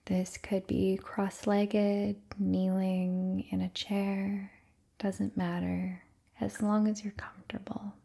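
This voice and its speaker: A woman guides a meditation in a breathy, near-whispered voice with a mellow, dark tone. Her delivery is very slow and soothing, with long pauses between phrases. The intonation stays quite flat and gentle throughout. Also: medium pitch.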